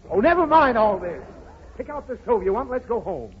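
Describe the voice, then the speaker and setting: Flustered American dad, swooping blustery scolding, muffled 1930s radio comedy recording. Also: male voice, very high-pitched, sing-song, brisk, slight background noise. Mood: flustered, defensive. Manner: scolding, protesting, easily flustered. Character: exasperated, blustering father of a little girl.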